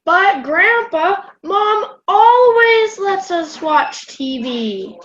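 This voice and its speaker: A woman playing an excitable, curious, blunt young grandchild in an amateur radio play. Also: very high-pitched, extremely slow, dull audio, slight background noise, exaggerated voice. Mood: pleading. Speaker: student radio play performer.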